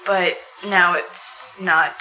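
A woman's voice: fairly low pitch, fairly even intonation, drawn-out words, bright, forceful tone, rough recording. A young woman in her late teens chatting informally and jokingly as a guest on a live panel. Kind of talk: casual live panel chat. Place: live convention panel by a restaurant window. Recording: faint background noise.

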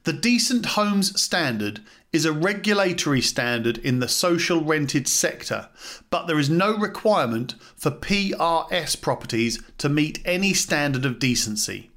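A man giving a measured, formal read-aloud narration of a written document, as a podcast host. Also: a little high, animated, fairly bright tone.

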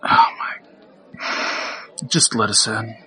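A man's voice: fairly low pitch, slow pace, sharp tone, slightly rough, faint music behind, heavily acted. A man playing a playful, wisecracking bounty hunter who talks in a jaunty, joking way in an audio drama.

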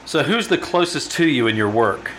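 An actor playing a firm, probing doctor in an old-time radio drama, with a measured, authoritative manner. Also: male voice, mid-range voice, very animated, fairly bright tone, a little hiss or hum. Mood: clinical, probing. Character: probing, firm male doctor questioning a patient.

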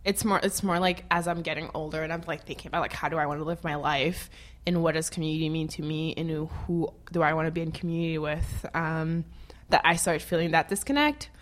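A woman's voice: low-pitched, fairly quick. A woman from Haiti speaking casually in an interview, rambling with lots of fillers and frequent laughter.

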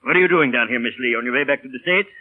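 An actor playing a kindly, worrying proprietor who protests hesitantly, in a vintage radio drama. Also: male voice, medium pitch, very fast, close to an everyday voice. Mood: genial. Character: kindly, fretful male airfield proprietor. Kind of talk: 1930s radio drama acting. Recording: tinny old radio broadcast.

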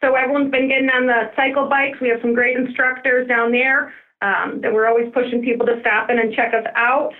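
A woman's voice: a little high, flat delivery, a little fast. A woman speaking as a recreation department guest in a casual radio interview over the telephone. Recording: muffled narrow phone line.

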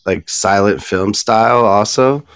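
A man with a lowish voice chats in an informal, slangy way. His sound is close and dry, like a relaxed guest on a show. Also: a little slow, smooth, dry recording. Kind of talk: casual chat.

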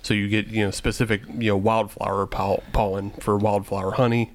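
A man's voice: lowish voice, little pitch movement, brisk. A man co-hosting a casual podcast chat, explaining things in a rambling way with lots of fillers. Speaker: podcast co-host.

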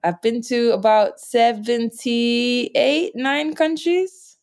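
A young woman answering interview questions, telling personal stories in a relaxed, chatty way and laughing often. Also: slow. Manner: animated, laughs often, jumps up in pitch when quoting others. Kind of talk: reflective conversational storytelling.

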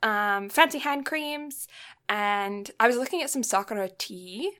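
A woman's voice: a little high, lively intonation, unhurried, pushed and bright. A woman chatting casually on a podcast, rambling along and laughing easily. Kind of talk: casual chat.

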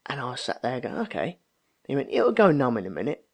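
A man's voice: mid-range voice, huge pitch swings, fast, a little mellow. A man in his thirties chatting casually and telling anecdotes, acting out the remarks he quotes. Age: 30s. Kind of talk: casual chat, storytelling.

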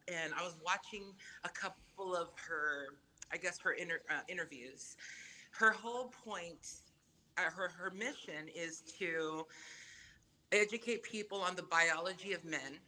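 A woman raised in Samoa, Samoan her first language, chatting as a podcast guest in a lively, emphatic way. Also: on the low side, rough recording. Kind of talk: casual podcast chat.

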